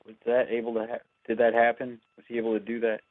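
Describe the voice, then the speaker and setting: Male sports reporter, monotone, medium pitch, dry thin phone audio. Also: quick pace, no room sound. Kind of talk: asking questions on a press call. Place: conference call. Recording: dry, narrow phone line.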